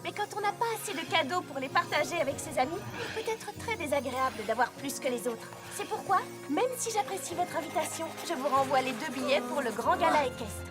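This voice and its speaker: Brief: earnest young girl pony student, very high swooping voice, expressive delivery, loud music underneath. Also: brisk, hardly any pauses. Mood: earnest, resolute. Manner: emphatic, expressive. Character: earnest young female pony student, conscientious and easily overwhelmed. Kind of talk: French cartoon dub acting. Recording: loud music behind the dialogue.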